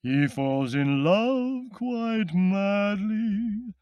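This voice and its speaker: A male narrator puts on a very British gentleman from a storybook, a baritone in his cups. He is sentimental and plummy, drawing words out slowly. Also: no echo. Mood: sentimental singing.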